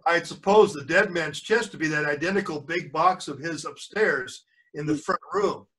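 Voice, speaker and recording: A man's voice: fairly high pitch, moderate pace, a bit bright, low-quality recording. An older man reading a storybook aloud to children as a grandfather would, stumbling over words and correcting himself, with asides to his listeners.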